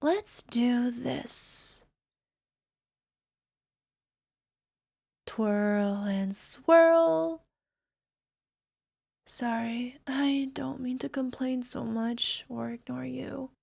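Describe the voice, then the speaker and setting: A woman's voice: high-pitched, drawn-out words, gravelly, a little mellow, full character voice. A woman playing a bubbly young alchemist who turns obsessively lovestruck, in a hesitant, giggly ASMR roleplay manner that slips into whispers. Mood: focused, sheepish. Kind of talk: ASMR girlfriend roleplay audio drama.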